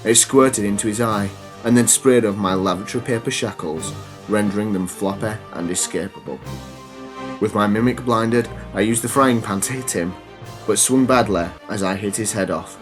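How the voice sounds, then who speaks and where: Teenage boy hosting a podcast with a bunged-up nose from a cold and a lowish voice. Deadpan storytelling and rambling, hesitant chat. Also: moderate pace, over music. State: congested from a cold. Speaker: podcast host. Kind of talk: comedy storytelling and casual chat.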